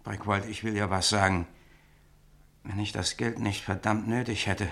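A cautious, shady seller in a radio drama. His voice is fairly low with a gravelly creak, deliberate and needling as he questions. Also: level tone, a bit bright. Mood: needling caution. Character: wary, probing man reluctantly selling a revolver. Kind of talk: radio play acting.

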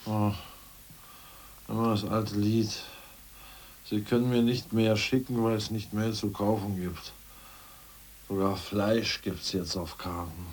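An actor playing a scheming, self-assured ousted party official in a radio drama, brisk and mocking, who slips into bureaucratic jargon. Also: male voice, on the low side, steady tone, darkish tone, slight background noise. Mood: casual. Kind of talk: 1980s radio drama acting.

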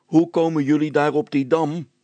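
A man voicing a suspicious, grumbling river skipper in an audiobook story, calling out loudly. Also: fairly high pitch, level tone, normal speed, dry recording, dull audio, voice pushed up, natural voice. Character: suspicious, gruff Dutch river barge skipper, shouting.

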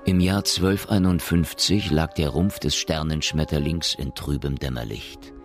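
A man narrating a science fiction radio drama as a grave, measured chronicler with a gravelly voice. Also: a bass voice, fairly even intonation. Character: grave chronicler of a space colony's history. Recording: music under the narration. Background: music.

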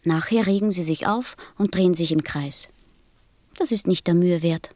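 A woman playing a small, innocent and wise boy prince in a radio play, speaking softly and earnestly. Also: lowish voice, normal speed, no echo, natural voice. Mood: thoughtful.

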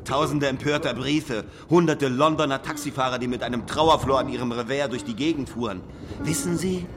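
An actor playing an arrogant, aggrieved English detective in a radio drama, eloquent, theatrical and sardonic. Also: male voice, mid-range voice, natural voice. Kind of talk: radio drama acting and first-person narration.

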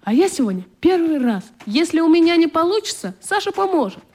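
A woman's voice: very high-pitched, big pitch swings, brisk, a little mellow. A woman playing an earnest, thoughtful young schoolboy in a children's radio play.

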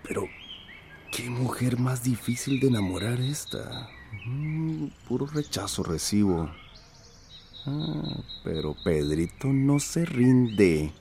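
An actor playing a shy but persistent village suitor in a radio drama, hesitant and ingratiating, with a Central American accent. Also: male voice, mid-range voice, animated, takes it slowly. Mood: lovelorn, determined. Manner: hesitant, throat-clearing, ingratiating. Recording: faint outdoor ambience noise.

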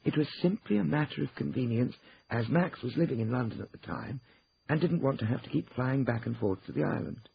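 A man's voice: mid-range voice, expressive, fairly quick, creaky, dull audio, slight background noise, voice pushed up. A man voicing a firm, indignant woman defending herself in an audiobook, insistent and emphatic. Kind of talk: acted audiobook dialogue.

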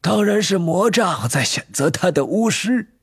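An eerie, intense old wandmaker from a storybook. His voice is high, soft and husky, rising and falling widely. He muses wistfully in drawn-out phrases. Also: expressive, dry recording, clean studio sound, heavily acted. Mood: musing.

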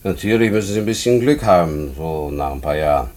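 A man's voice: extremely low pitch, expressive, a little fast, darkish tone. An actor playing a matter-of-fact male prison inspector in a German radio play, talking casually and condescendingly in coaxing questions. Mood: breezy, patronising.

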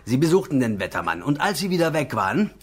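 Husky old soldier Favier. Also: male voice, on the high side, big pitch swings, quick pace. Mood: eager, defensive. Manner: folksy, plain-spoken, rambling. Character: Favier, old male war veteran, simple, earthy storyteller. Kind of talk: German radio play acting.

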